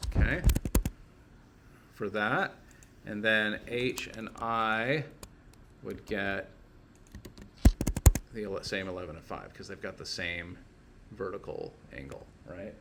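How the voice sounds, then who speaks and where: A man's voice: fairly low pitch, slow, long pauses. Male teacher thinking aloud, noisy background. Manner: informal, thinking aloud step by step. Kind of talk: casual hands-on technical lesson, working through steps aloud.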